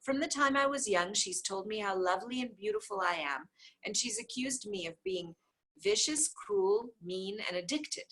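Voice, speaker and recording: Female book reader, informal and emphatic, lively intonation, breathy-husky tone. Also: medium pitch, normal speed, low-quality recording. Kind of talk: book read aloud, informal.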